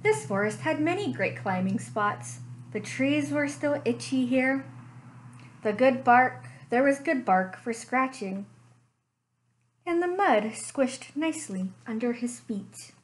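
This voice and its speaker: A young woman, a librarian, reading a picture book aloud slowly and expressively at home into a webcam. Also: sits high, rough recording. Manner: slow, expressive storytime reading. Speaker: children's librarian. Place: living room at home.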